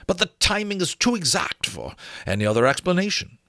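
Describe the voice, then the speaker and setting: A grave, learned gentleman, played by a man reading a novel aloud. His voice sits high and swings widely in pitch. He explains things with formal, old-fashioned courtesy. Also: sing-song, brisk, no room sound, clean studio sound, full character voice. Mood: grave, explanatory. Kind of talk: dramatic audiobook character reading.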